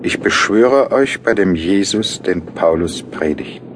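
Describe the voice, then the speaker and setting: A man playing a serious writer who narrates his thoughts in a radio play, deliberate and reflective. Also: deep voice, animated, background noise. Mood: solemn, reflective. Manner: deliberate, musing. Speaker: narrator in an audio drama.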